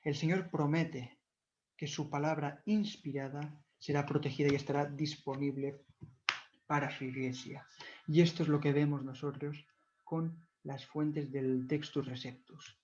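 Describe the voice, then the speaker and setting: Catalan-native male lecturer, slightly high-pitched, reverent and careful, muffled lo-fi audio. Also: steady tone, darkish tone, a little hiss or hum. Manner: measured, devout, corrects himself often. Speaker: video lecturer. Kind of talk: explanatory talk on church history. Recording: dull lo-fi recording.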